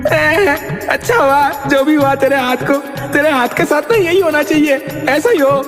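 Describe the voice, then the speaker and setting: A man's voice: extremely high pitch, a little slow, a bit bright. A man voicing a cranky, sarcastic royal minister in a comic cartoon, scolding and taunting in a whiny way. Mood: spiteful gloating. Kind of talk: Hindi cartoon comedy acting. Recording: echoey reverberant sound with music behind.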